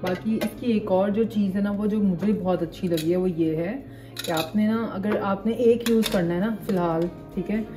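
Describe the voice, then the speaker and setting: A woman's voice: medium pitch, moderate pace, loud music behind the voice. A young woman, chatting casually to her phone camera for a vlog and mixing in English phrases. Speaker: vlogger. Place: outdoors on a bus in city traffic. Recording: loud traffic noise behind.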